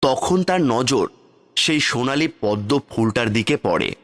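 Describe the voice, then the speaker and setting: A man narrating a cartoon fairy tale in steady, plain scripted storytelling. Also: medium pitch, unhurried. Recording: faint background music. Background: faint music.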